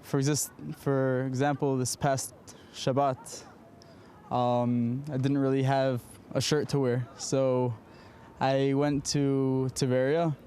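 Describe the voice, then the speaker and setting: A man's voice: medium pitch, level tone, slow, mellow. A young man, a soldier, giving a plain personal answer in an interview. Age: young adult. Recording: faint outdoor urban noise.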